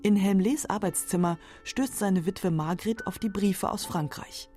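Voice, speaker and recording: A woman's voice: mid-range voice, unhurried, warm tone, faint music behind. A woman narrating a radio feature from a script. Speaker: documentary narrator.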